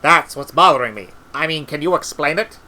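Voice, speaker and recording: Guy reading as a gloomy wizard, doubtful n puzzled, bright tense voice, storybook. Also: a little high, fairly quick. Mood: doubtful, desperate.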